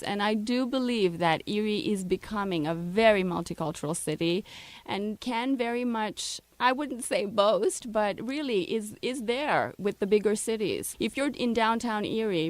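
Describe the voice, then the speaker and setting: A woman's voice: mid-range voice, no echo. A woman speaking as an interview guest, explaining things in an articulate and earnest way. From grew up in Russia, Egypt, Canada and Brazil. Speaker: interview guest from a multicultural center. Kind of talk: radio interview, explanatory conversation.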